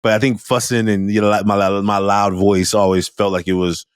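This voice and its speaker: A male teacher with a loud voice, talking candidly and telling lively stories in a conversation over a video call. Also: on the low side, normal speed, clear, resonant tone, no room sound, studio quality. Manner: animated storyteller, acts out quoted students.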